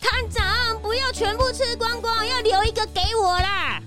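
A woman's voice: very high-pitched, fairly even intonation, normal speed, music in the background. A woman voicing a small, cute, earnest young sidekick to a detective in a children's audio drama. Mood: childlike wonder.

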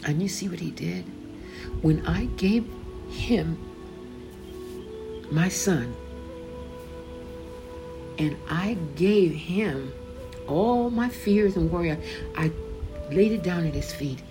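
A woman's voice: on the low side, animated, slow pace, pauses often. A woman author and host reading her devotional book aloud, earnest and conversational, calling the listener beloved. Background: background music.

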